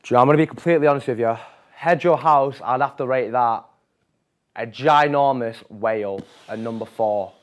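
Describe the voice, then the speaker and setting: Young male reporter, mid-pitched, relaxed, a bit hesitant. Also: a little fast. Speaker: student reporter. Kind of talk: casual presenting.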